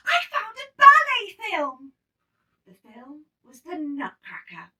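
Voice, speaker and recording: A woman reading a children's story aloud in an expressive, emphatic storytelling manner. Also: mid-range voice, far from the mic, poor audio. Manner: animated, emphatic storytelling with acted-out dialogue. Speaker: storybook reader.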